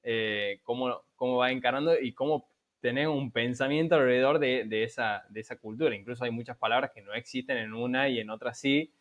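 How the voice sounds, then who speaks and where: An Argentine man hosts a podcast and asks loose questions that trail off, stopping now and then to add his own side remarks. His pitch sits in the middle, and the audio is slightly muffled and lo-fi. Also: a little fast. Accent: Argentine Rioplatense. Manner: laid-back, questions trail off, asides. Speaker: podcast host. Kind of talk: conversational interview.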